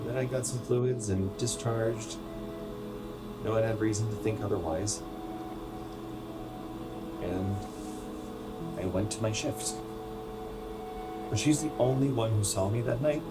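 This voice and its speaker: An American man acting in a radio play as a troubled, injured young soccer player, halting and confessional, with a bitter, sarcastic, profane edge. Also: fairly low pitch, over loud music, lightly acted. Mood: halting, sad.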